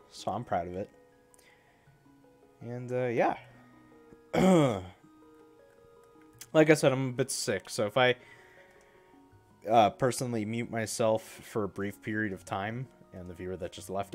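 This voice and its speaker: A young man chatting casually on a live stream, a bit congested from a cold, rambling and correcting himself as he goes. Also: fairly low pitch.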